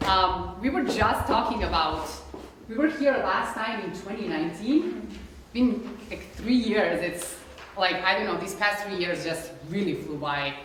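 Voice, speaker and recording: A woman's voice: medium pitch, unhurried, distant mic, slight background noise. Serbian-accented woman in an echoey hall. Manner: chatty, many "like" and "um" fillers. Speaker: flutist introducing a concert. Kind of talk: casual spoken introduction to a live audience. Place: concert hall. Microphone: distant room mic. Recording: echoey hall recorded from afar.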